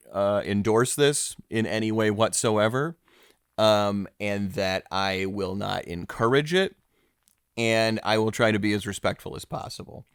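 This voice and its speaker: A man's voice: on the low side, smooth, no echo, clean studio sound. A man hosting a mock-serious comedy interview, hesitant and stammering, sounding awkwardly earnest. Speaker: podcast host.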